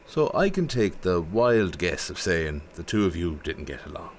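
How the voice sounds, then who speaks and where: An actor playing a measured, probing police interviewer in an audio drama, formal and patient. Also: male voice, deep voice, very animated, slight background noise.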